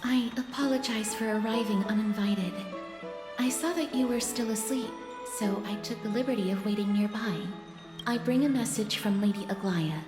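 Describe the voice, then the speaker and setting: An actress playing a polite, deferential female messenger in a video game, speaking in a courteous, gentle, formal way. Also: fairly high pitch, moderate pace, natural voice. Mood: polite, apologetic. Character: polite, deferential female attendant bearing a message. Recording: echoing reverb, music behind.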